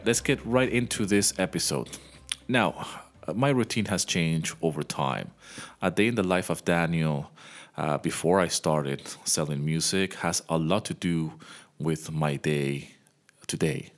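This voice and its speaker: Deep-voiced man chatting to listeners. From Venezuela. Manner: chatty, speaks straight to the listener. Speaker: podcast host, music composer. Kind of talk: casual podcast monologue. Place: home.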